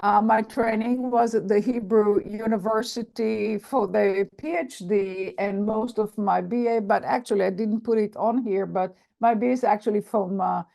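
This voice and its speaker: Older Israeli woman lecturing, gravelly. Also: mid-range voice, fairly even intonation, dark tone, low-quality recording. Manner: measured, halting, many fillers and restarts. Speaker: professor giving a lecture. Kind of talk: academic lecture on a video call. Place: online video call.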